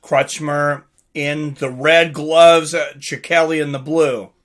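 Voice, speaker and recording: A man hosting a live fight watch-along stream, commenting casually and talking directly to viewers in chat, often clearing his throat. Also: a little high, flat delivery, slow, a bit bright.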